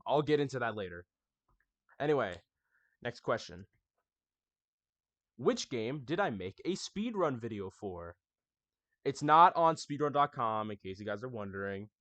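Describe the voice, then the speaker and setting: A young man talks through his gaming channel's history in a relaxed, chatty way, often turning to his viewers directly. His voice sits at an ordinary middle pitch. The sound is close and dry, with no room around it. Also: no echo. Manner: chatty, addresses viewers as y'all. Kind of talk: casual scripted anniversary recap.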